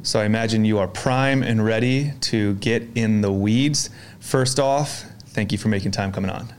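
Brief: male podcast host, low and smooth voice, upbeat and chatty. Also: normal speed. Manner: upbeat, friendly, rambling questions with "um" and "uh". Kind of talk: casual interview conversation.

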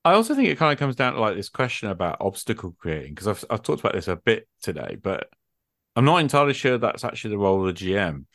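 A man with a very deep voice talks in an unscripted discussion. He explains things reflectively, posing rhetorical questions as he goes. The sound is dry, with little room in it. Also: very animated, fast talker, a bit bright, dry recording. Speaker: game master and host.

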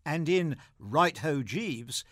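A man reads a scripted literary piece as a programme presenter. His voice is slightly higher than most men's and very animated in pitch, recorded dry with hardly any room sound. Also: takes it slowly, dry recording.

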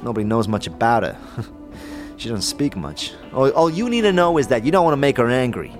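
Man playing a lively, sarcastic talking skull. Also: medium pitch, fairly quick, close to an everyday voice. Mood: wry warning. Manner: irritable, mocking, animated exclamations. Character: Mort, sarcastic wisecracking floating skull companion. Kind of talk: audio drama acting. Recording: music behind the voice.

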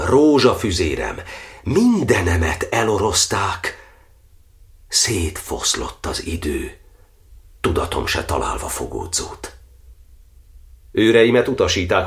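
An actor playing a tormented imprisoned cardinal, recounting his suffering in declamatory verse for a radio play. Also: low-pitched.

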